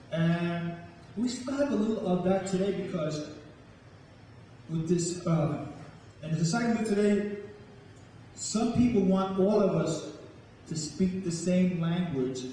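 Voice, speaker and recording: A man tells stories and recites poetry in a slow, dramatic way. His voice is fairly high and soft-edged. It carries through a big, very reverberant hall, picked up from afar with a faint layer of noise. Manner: deliberate, dramatic storytelling delivery. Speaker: spoken word poet and storyteller. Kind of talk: dramatic poetry reading and talk to a live audience. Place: large echoing room with a live audience. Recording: echoey hall, distant mic, faint noise.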